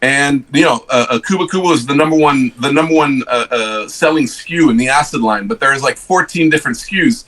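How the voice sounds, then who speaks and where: Bright-voiced man telling stories. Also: medium pitch, poor audio. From Switzerland. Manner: halting, many restarts and fillers. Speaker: cigar rep guest. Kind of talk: casual podcast storytelling.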